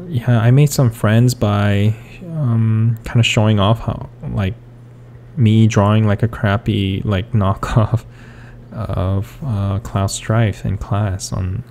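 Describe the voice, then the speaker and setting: A young man from South Korea, Korean his first language, talks haltingly with a low, gravelly voice. He drifts along through many fillers and restarts. Faint hum sits behind him. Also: fairly even intonation, a little mellow. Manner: hesitant, full of fillers and restarts. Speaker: artist. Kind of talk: rambling speed-paint commentary.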